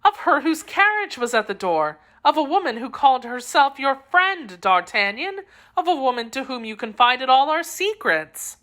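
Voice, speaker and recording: A woman voicing a frantic, loving young woman who is dying, in a high breathless voice. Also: big pitch swings, moderate pace, a bit bright. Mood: overjoyed, urgent.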